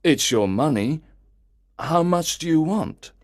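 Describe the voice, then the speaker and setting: Man acting a slow, questioning husband. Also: fairly high pitch, animated, voice pushed up. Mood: surprised. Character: trusting, then suspicious English husband, a hop merchant. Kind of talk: scripted storybook dialogue.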